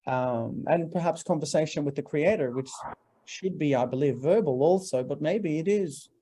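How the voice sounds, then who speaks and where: A man's voice: fairly high pitch, moderate pace, mellow, rough recording. A man in his forties from Norway, a guest in an interview, talking earnestly and preacherly in long emphatic lists. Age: 40s. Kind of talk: interview, motivational talk.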